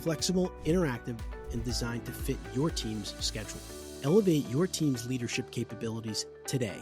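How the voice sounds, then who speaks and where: A man's voice: medium pitch, lively intonation, fairly quick, music in the background. A man reading a polished, scripted promotional ad. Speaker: ad announcer. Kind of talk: scripted ad read.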